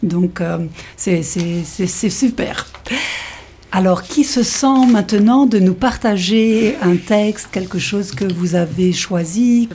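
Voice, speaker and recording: A woman's voice: mid-range voice, slow, dark tone, slight background noise. A woman teaching French to adult learners, who thanks each speaker and cheers them on. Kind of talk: leading a language class.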